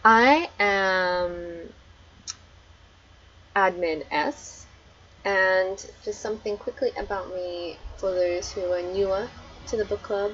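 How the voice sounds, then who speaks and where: A woman's voice: mid-range voice, slow, pauses often, a bit bright, slightly rough, highs cut off. A woman with an Australian accent hosting a book club live stream in a friendly, welcoming way. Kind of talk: casual live-stream chat.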